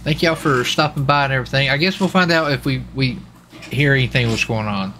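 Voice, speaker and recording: A man's voice: mid-range voice, brisk, sharp tone. A man livestreaming a game, chatting casually in a rambling, repetitive way. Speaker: livestreamer and role-play gamer. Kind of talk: casual chat while streaming a game. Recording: faint background noise.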